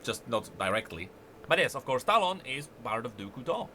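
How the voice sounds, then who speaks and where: A man giving informal explanatory commentary on game lore, animated and halting as he thinks aloud. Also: mid-range voice, slight background noise.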